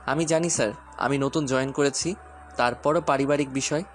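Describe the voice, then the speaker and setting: A man's voice: medium pitch, steady tone, normal speed, a little hiss or hum, lightly acted. A man acting a stern yet teasing young husband and father in an audio story. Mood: polite, hesitant.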